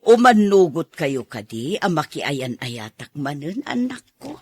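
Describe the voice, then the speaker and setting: A woman's voice: low voice, very animated, measured pace, slightly rough, no room sound, full character voice. An actress with an Ilocano accent playing a bashful middle-aged mother in a radio drama. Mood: warm, hesitant. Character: widowed mother around fifty, bashful about new romance.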